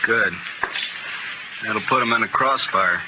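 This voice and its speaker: A man's voice: on the low side, measured pace, lightly acted. Man playing a wry gunslinger, very noisy recording. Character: wry, dry-humored gambler gunman of the Old West. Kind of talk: 1950s radio western acting. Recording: very noisy, muffled old radio recording.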